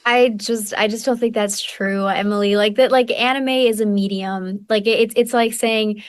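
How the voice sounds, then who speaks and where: A woman's voice: medium pitch, steady tone, normal speed, clean tone. A young woman journalist in casual debate, often saying "like" and repeating words as she pushes back. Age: young adult. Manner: says "like" a lot, repeats words when pushing back. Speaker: guest journalist.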